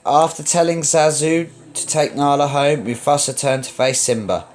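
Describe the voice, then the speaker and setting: A man reading a children's story aloud as narrator, in a dramatic, expressive read-along style. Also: fairly high pitch, moderate pace, soft background music.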